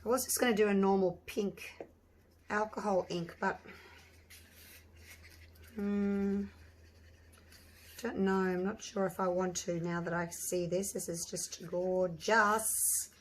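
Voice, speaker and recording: A slightly hoarse woman hosting a casual crafting tutorial, chatting and thinking aloud with lots of ums and restarts. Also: mid-range voice, fairly even intonation, slow, pauses often, slight background noise. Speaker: resin artist and channel host.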